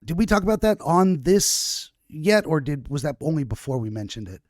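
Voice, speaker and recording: A man's voice: a little high, big pitch swings, moderate pace, a little mellow, dry recording, studio quality. A man hosting a podcast, talking in a rambling way with many restarts and self-corrections. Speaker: podcast host. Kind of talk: casual conversational interview.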